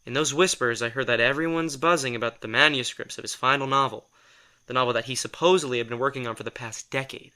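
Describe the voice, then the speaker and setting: A man's voice: medium pitch, steady tone, fast talker, bright, forceful tone, clear, resonant tone, lightly acted. A man acting in an audio drama as a sardonic, exasperated assistant who reads minds, talking in a wry, defensive, rambling conversational way. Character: sardonic, exasperated male telepath, former personal assistant to a writer.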